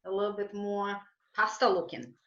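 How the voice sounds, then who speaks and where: A woman's voice: medium pitch, little pitch movement, normal speed, a bit bright, echoey room, muffled recording. A woman taking part in a cooking class, giving a casual, slightly hesitant answer. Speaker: class participant. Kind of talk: brief answer in a group class.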